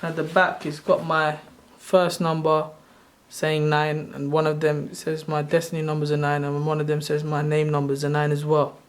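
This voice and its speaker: A soft-spoken man in his thirties, originally from Sri Lanka, casually walking through his own screen in a loose, rambling way. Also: a little high, completely flat delivery, normal speed. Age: 30s. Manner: rambling, loose and self-correcting. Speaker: channel host. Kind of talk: casual screen-share walkthrough.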